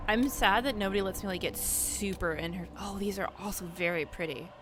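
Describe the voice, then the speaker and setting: A woman's voice: medium pitch, brisk, noisy background. A woman hosting a gaming video, giving casual, chatty play commentary as she thinks aloud.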